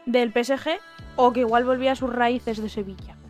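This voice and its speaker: A woman's voice: a little high, fairly even intonation, a little fast, a little mellow, soft background music. A woman co-hosting a casual radio chat, telling stories in a playful way. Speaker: radio co-host.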